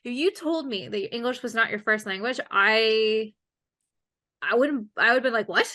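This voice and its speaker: A woman's voice: fairly high pitch, moderate pace, sharp tone. A woman hosting a casual interview on a video call, chatty and rambling with plenty of fillers. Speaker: interviewer, channel host.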